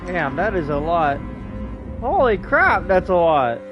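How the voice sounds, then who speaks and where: A man's voice: high-pitched, very animated, a little slow, lo-fi sound, over music. A man giving casual gameplay commentary, reacting informally and with swearing. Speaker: video game streamer.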